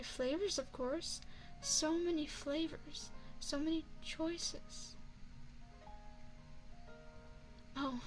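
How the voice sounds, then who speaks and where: A woman playing an elegant, flirtatious vampire chef in an ASMR roleplay, speaking formally and courteously with deliberate, teasing phrasing into a close microphone. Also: high-pitched, low-quality recording. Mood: proud, playful. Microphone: close binaural microphone.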